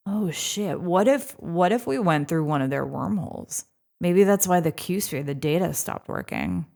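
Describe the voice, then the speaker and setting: Woman playing a creaky, anxious crew member. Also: lowish voice, sing-song, a little slow, a little mellow, exaggerated voice. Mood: alarmed. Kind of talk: sci-fi audio drama acting.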